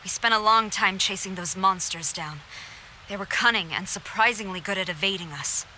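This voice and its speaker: A woman's voice: medium pitch, moderate pace, bright, forceful tone. A woman voicing a stern, proud female warrior in a video game. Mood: grave. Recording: noisy ambience behind the voice.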